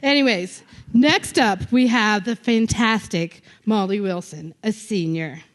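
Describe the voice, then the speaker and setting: Loud, chatty woman emceeing an event. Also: medium pitch, unhurried. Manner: loud, chatty, self-joking. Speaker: club director introducing a speaker.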